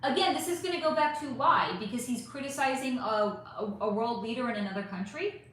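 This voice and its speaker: A woman with a slightly high, somewhat hoarse voice runs a casual live stream, answering her chat in a rambling, emphatic way and swearing now and then. The sound is drenched in strong room echo. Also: animated, brisk, fairly bright tone, a little hiss or hum. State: voice going hoarse. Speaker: psychic channel host reading live chat. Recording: heavily echoey room.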